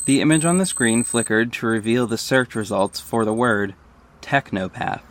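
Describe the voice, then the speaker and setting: A man's voice: medium pitch, normal speed, lightly acted. A man playing a wry, self-aware superhero who narrates his own story in a scripted audio drama. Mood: serious, pondering.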